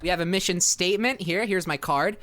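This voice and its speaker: A woman's voice: lowish voice, fairly quick, a bit bright. A woman improvising in-character comedy banter as a player in a story, often repeating her phrases.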